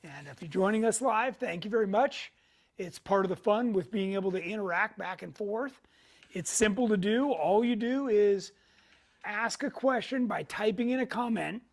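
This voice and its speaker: A middle-aged man hosting a weekly live tech show in a casual way, hoarse, clearing his throat often and pausing on fillers. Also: high-pitched.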